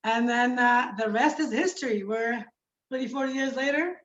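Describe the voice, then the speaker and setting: A woman's voice: fairly high pitch, normal speed, very noisy. A woman in her forties from Iraq, a guest telling her life story in an upbeat manner and laughing often. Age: 40s. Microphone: video call. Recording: echoey, dull video-call audio.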